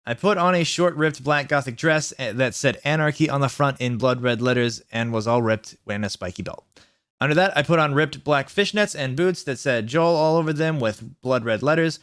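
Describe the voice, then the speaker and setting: Male host, smooth clean voice, comic story narration with sarcastic, mock-earnest commentary. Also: medium pitch, normal speed, fairly bright tone, dry recording, studio quality. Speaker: host reading fan fiction aloud. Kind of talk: comic dramatic reading with asides.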